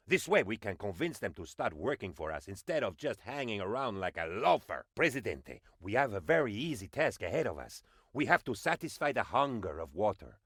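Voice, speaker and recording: Video game advisor: fawning, melodramatic right-hand man of an island leader, lively swooping delivery, dry close sound. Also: mid-range voice, no room sound. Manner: theatrical, flattering, melodramatic. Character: excitable, fawning male presidential advisor on tropical island. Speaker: video game character voice actor. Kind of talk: animated video game voice lines.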